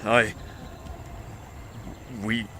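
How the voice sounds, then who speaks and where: A man playing a shaken, faltering leader in a zombie apocalypse fan audio drama, urgent and halting. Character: shaken, faltering male leader under pressure. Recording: loud gunfire and battle noise.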